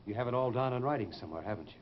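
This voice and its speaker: Man playing a suave, animated radio villain. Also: medium pitch, rapid-fire, close to an everyday voice. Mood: alarmed, menacing. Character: suave, vain, menacing fugitive killer posing as traveler. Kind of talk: 1940s radio drama acting. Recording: muffled, noisy old radio recording.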